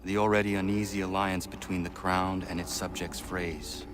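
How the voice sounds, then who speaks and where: An actor playing an earnest, righteous young half-Mohawk assassin in a video game, with formal, measured phrasing. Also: male voice, low-pitched, flat delivery, over music, natural voice. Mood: brooding, resolute. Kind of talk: dramatic video game acting.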